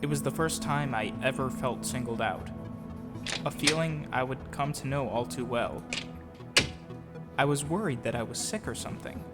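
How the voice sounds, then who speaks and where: A man narrating childhood memories in an audio drama, reflective and measured, as a scripted first-person storyteller. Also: mid-range voice, natural voice. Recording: long reverb, faint music behind.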